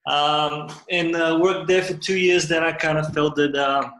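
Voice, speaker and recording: Flat, bright-voiced young man from Bulgaria. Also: fairly high pitch, unhurried, reverberant, rough recording. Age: young adult. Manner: relaxed, hesitant storytelling with fillers. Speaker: guest, startup cofounder. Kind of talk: interview answers.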